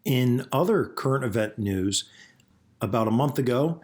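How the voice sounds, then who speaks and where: A man's voice: medium pitch, expressive, moderate pace, a little mellow. A man hosting his own podcast, talking casually and rambling, with a soothing voice and plenty of fillers and restarts. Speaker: podcast host. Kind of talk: casual solo podcast monologue.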